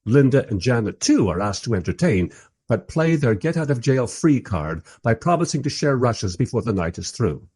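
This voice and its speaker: A man plays a wry observer narrating a radio play. His voice is husky and mid-pitched, moving in lively swoops through dry, literary storytelling. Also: moderate pace. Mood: wry, bemused. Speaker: first-person narrator.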